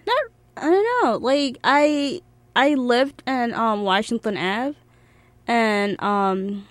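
A woman's voice: fairly high pitch, expressive, slow pace, dry recording. A young woman from Egypt whose first language is Arabic, chatting casually as an interview guest in an informal, giggly way peppered with "like". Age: young adult. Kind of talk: casual chat.

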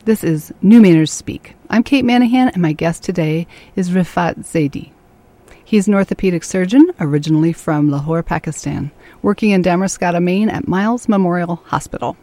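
A woman hosting a radio interview show, asking her guest questions in a measured, polite way. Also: on the low side, dark tone, no room sound.

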